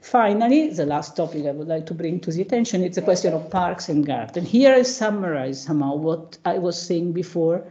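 Italian lady prof doing a slide lecture on a video call, kinda dark creaky voice, stops and hunts for words. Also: animated. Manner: halting, searching for words. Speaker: academic panelist, professor. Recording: muffled low-bandwidth video call audio.

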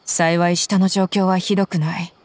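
A woman's voice: lowish voice, level tone, moderate pace, a little mellow, lightly acted. An actress playing a tough, confident young woman mercenary in a Japanese anime game, with blunt, intimidating phrasing. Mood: rueful, serious.

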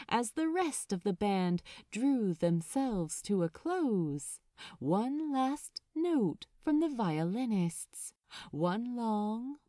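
Woman doing expressive audiobook narration, slowish pace, mellow voice, dramatic storytelling. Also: mid-range voice, no echo, studio quality. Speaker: narrator reading fan fiction aloud.

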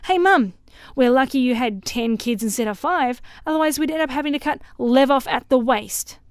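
A woman voicing a drunk, bitter young man in his late twenties who mocks his family loudly. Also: high-pitched, normal speed, smooth, dry recording, studio quality, voice pushed up, lightly acted. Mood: scornful toast. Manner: loud, mocking, sarcastic.

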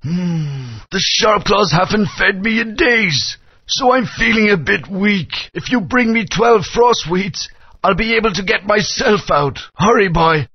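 A man voicing a weary, starving captive who warns and pleads in a husky, creaky voice. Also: high-pitched, lively intonation, measured pace, dry recording, full character voice. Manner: weary, cautioning. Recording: dry, muffled lo-fi game audio.

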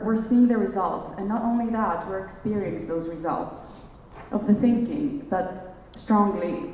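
A young woman from Greece talks earnestly on a conference stage, reaching for her words and often starting phrases over. Her slightly low voice carries through a reverberant hotel ballroom, picked up from a distance. The sound is dull and a little muffled. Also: darkish tone, a little hiss or hum. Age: young adult. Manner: earnest, searching for words with frequent restarts. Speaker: conference speaker. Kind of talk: onstage dialogue, reflective.

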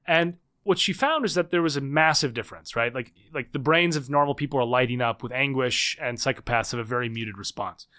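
Fast-talking man with frequent restarts. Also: medium pitch, bright, forceful tone. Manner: quick, many restarts and repeated words. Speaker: podcast guest, author. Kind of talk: conversational interview.

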